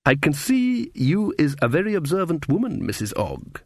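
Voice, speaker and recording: A woman's voice: deep voice, sing-song, moderate pace, slightly rough, dry recording, clean studio sound, pitched above the performer's own voice, full character voice. A man voicing a proud, knowing middle-aged woman cook with folksy, emphatic dialect for a storybook. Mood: sly, knowing.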